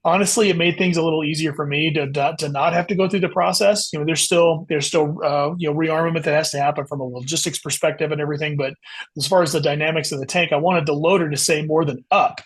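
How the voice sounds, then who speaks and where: Fast-talking husky man, slightly high voice. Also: fairly even intonation. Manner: explanatory. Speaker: guest author. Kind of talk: casual interview discussion.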